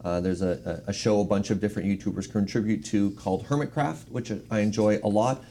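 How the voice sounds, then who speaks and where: Lowish-voiced man chatting, faint noise behind. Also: fairly even intonation, fairly quick, warm tone. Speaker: panel guest giving recommendations. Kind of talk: casual unscripted chat.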